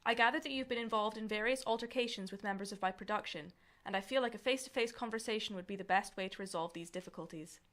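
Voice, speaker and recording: A woman's voice: medium pitch, fast, hardly any pauses, fairly bright tone, close to an everyday voice. A woman playing a cutting, fed-up young student director in an audio drama. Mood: stiff, formal. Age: young adult. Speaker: actor. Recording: very noisy background, vehicle-like ambience.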